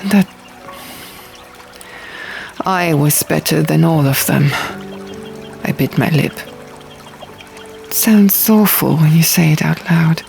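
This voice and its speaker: A woman reading fiction as a dramatic audiobook narration, with measured, deliberate phrasing. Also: low voice, creaky, darkish tone. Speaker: audiobook reader. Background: music.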